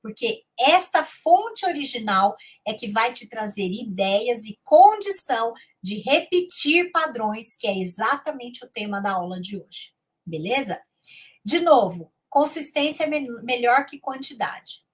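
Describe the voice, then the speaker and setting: Husky-voiced woman teaching English. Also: on the high side, very animated, a little slow. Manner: conversational, checks in with "tá?" often; switches between Portuguese and English. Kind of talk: online lesson lecture.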